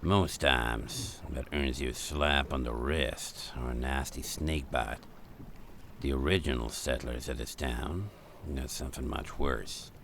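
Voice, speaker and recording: An actor playing an older man who grimly warns against prying into a dark secret, talking slowly and ominously in a radio drama. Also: slight background noise, full character voice. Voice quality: very deep, gravelly. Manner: slow, ominous, warning. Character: grim old man guarding a dark secret.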